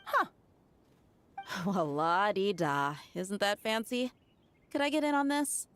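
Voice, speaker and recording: A woman's voice: medium pitch, very animated, slow, a bit bright, clear, resonant tone. A woman voicing a brash, dry-witted female fighter in a game, with a sardonic, casual manner. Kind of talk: game voice acting.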